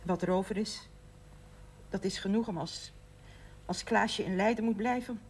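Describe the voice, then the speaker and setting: Actress doing a stubborn proud mum in her 60s, tv drama, husky mid voice. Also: mid-range voice, normal speed, close to an everyday voice. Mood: defensive, firm. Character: proud, dutiful mother of sixty-six, stubbornly practical. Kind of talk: naturalistic 1970s television drama acting.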